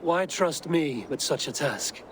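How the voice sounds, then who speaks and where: An actor playing a stoic, steadfast Viking raider hero in a video game, speaking in a measured, plain, firm way. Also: male voice, fairly high pitch, lively intonation. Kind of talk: dramatic video game cutscene acting. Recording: game audio with faint background noise.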